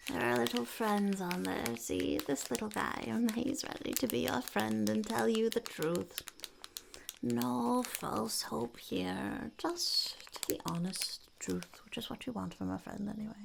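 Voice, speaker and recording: A woman voicing a blunt, smug female goblin entrepreneur in an unhurried, breathy, gravelly half-whisper for an ASMR roleplay. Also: fairly high pitch, noisy background. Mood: smug, matter-of-fact. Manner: speaks of herself in third person, unhurried, blunt. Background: crinkling wrappers and clicks.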